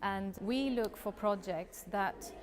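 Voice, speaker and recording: A woman's voice: medium pitch, slow, noisy background. Composed woman in her thirties. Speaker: foundation representative. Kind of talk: documentary interview.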